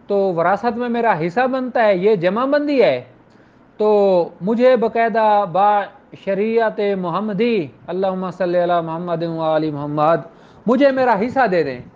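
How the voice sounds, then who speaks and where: Male lecturer, Pakistani accent, very high voice, emphatic and repetitive teaching delivery, dull lo-fi recording. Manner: didactic, emphatic, repeats key phrases. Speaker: law lecturer. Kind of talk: informal explanatory lecture to students. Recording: dull lo-fi audio.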